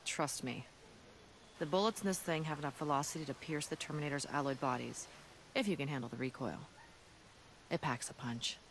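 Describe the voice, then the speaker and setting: An actor plays a battle-hardened resistance fighter from an apocalyptic future in a video game cutscene. The delivery is earnest, solemn and deliberate. Ambient noise is clearly audible behind the voice. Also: medium pitch, a bit bright, close to an everyday voice. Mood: assured, explaining.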